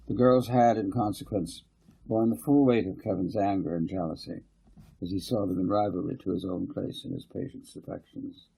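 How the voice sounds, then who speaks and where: Low, creaky, husky male narrator. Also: warm tone, low-quality recording. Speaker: author reading own book as narrator. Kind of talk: scripted audiobook narration.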